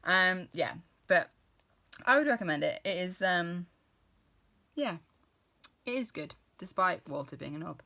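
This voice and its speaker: A British woman in her early thirties talks through her reading in a loose, rambling way, full of ums and likes. Her voice sits a touch on the low side. She sounds keen and chatty throughout. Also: expressive, measured pace, creaky, pauses often, sharp tone. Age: 30s. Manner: chatty, rambling, enthusiastic with frequent fillers. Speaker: book vlogger. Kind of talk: casual book review chat.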